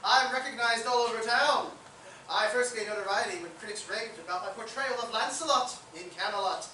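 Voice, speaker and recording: A man's voice: high-pitched, brisk, bright, forceful tone. A man playing a vain, easily flustered musical theatre actor under suspicion, speaking precisely in a comic stage play reading. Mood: boastful. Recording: distant, very echoey, faintly noisy theatre recording.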